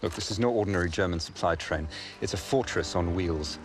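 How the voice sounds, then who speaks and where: Very low male voice, British, actor as cool special forces agent, dry wit, game briefing, music. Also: brisk, lightly acted. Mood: wry. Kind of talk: cinematic war game briefing.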